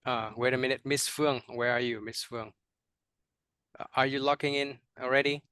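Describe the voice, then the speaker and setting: A man's voice: medium pitch, fairly even intonation, brisk, pushed and bright, smooth, no room sound, studio quality. A young man with a Vietnamese accent leading an online teacher workshop, with many fillers and repeated words, often checking in with "right?". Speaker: teacher trainer.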